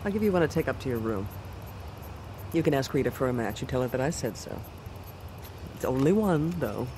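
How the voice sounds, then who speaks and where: An actress playing an imperious, manipulative commander's wife in an audio drama, haughty and theatrical. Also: female voice, very deep voice, background noise. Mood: sly, bargaining.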